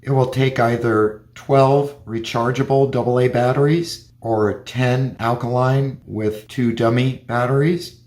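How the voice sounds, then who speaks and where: A man's voice: mid-range voice, steady tone, measured pace, darkish tone. A man giving a hands-on gadget review, explaining features plainly and methodically, step by step. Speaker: product reviewer.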